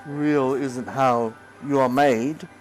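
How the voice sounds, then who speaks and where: A woman voicing a wise, kindly old toy horse in a storybook, explaining things patiently and gently. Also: extremely low pitch, fairly even intonation, slow, faint music behind, pitched below the performer's own voice, low-quality recording. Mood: gentle, earnest.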